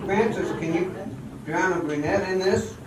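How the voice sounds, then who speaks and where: A man's voice: sits high, normal speed, noisy background, close to an everyday voice. An amateur actor playing a bitter, stubborn, proud male dancer who has been jilted and is drinking, in a radio drama. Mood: wry, boozy. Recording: very echoey hall, distant mic, music behind.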